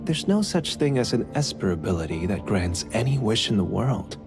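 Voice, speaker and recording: An actor playing a calm, courteous, enigmatic man in an anime-style game, speaking in a measured, polite way. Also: fairly low pitch, music in the background. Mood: gentle, composed.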